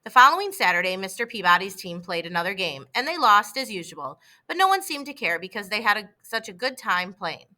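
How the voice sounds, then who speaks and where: A woman with a bright, clear-cut storytelling delivery reads a children's book aloud. Her pitch sits in the middle, and she narrates expressively and deliberately. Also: very animated. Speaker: storybook reader.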